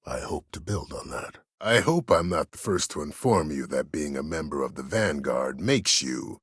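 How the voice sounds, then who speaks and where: An actor playing an imprisoned, disgraced admiral in a video game, a calculating schemer who speaks with cold courtesy in measured, formal, deliberate phrases. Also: male voice, a bass voice, expressive, fairly bright tone, husky, dry recording, clean studio sound, heavily acted. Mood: calm, calculating. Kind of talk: video game character dialogue.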